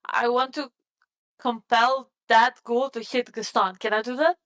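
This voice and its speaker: A woman's voice: a little high, fairly even intonation, a little slow, a bit bright, clear, resonant tone. A woman playing a tabletop game, talking casually and asking questions to check the rules.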